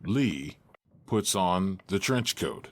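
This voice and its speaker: A male narrator with a deep voice reads scripted stage directions. He is detached and unhurried, yet his pitch moves widely. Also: sing-song. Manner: detached, matter-of-fact.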